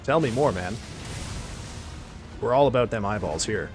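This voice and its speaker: A man chatting casually on a live stream, excitable and thanking viewers, his voice getting hoarse. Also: lowish voice, moderate pace, faint music behind. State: losing his voice.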